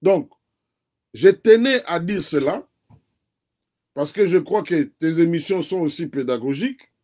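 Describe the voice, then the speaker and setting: High-voiced Congolese man, earnest. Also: animated, darkish tone. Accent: Congolese French. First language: Kikongo. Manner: earnest, deliberate, lecturing. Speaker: interview guest. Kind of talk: political commentary in an interview.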